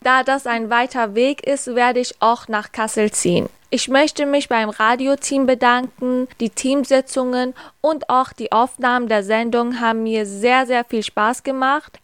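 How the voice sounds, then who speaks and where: Young female co-host, clear voice, somewhat high, dry close recording. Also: a bit bright, dry recording. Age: young adult. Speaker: co-host and reporter. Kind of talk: reading a farewell and announcements.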